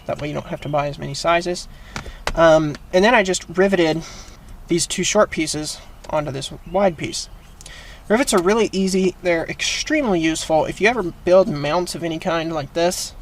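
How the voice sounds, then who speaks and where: Guy w a slightly high voice doing a diy how-to, plain casual explaining, noisy vehicle sound behind him. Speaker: host of a how-to video. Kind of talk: casual hands-on DIY tutorial.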